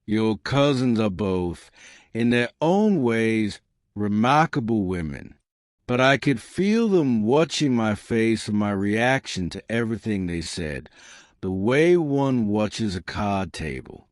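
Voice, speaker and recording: Man reading as gentleman officer, low voice, earnest, courteous, formal, dry audiobook sound. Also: big pitch swings, slow, no room sound. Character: earnest, courteous army colonel, a plain-spoken gentleman suitor. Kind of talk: audiobook character dialogue.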